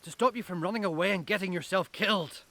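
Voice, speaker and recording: An actor playing a stern, protective middle-aged headmaster in an audio drama, scolding and commanding, with a Northern Irish accent. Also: male voice, sits high, fast talker, bright, forceful tone. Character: stern, protective headmaster guarding his school after apocalypse.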